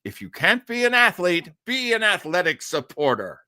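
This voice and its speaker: A man's voice: sits high, sing-song, normal speed, sharp tone, no room sound. A middle-aged man hosting a live stream, chatting casually and reading viewer comments in a rambling, enthusiastic way with frequent hesitations. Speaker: live-stream host of an old-time radio show.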